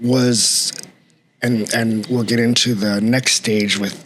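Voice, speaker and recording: A man hosting a podcast who asks questions in a curious, measured way. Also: on the low side, little pitch movement, fairly bright tone, a little hiss or hum. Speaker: podcast host. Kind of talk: podcast interview.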